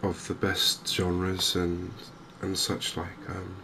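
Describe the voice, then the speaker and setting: A softly spoken man giving hesitant, unhurried ASMR-style commentary over a video game. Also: low voice, completely flat delivery. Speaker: gaming video host. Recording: clear game ambience noise behind voice. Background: outdoor wildlife ambience from a fishing game.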